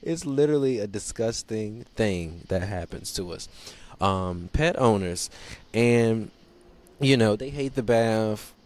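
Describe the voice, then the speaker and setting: A man's voice: on the low side, lively intonation, takes it slowly, a little mellow. A young man hosting his own show alone, talking loosely and profanely in joking rants into a handheld mic, seated in a small studio set. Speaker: podcast host. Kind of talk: casual solo monologue. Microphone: handheld microphone.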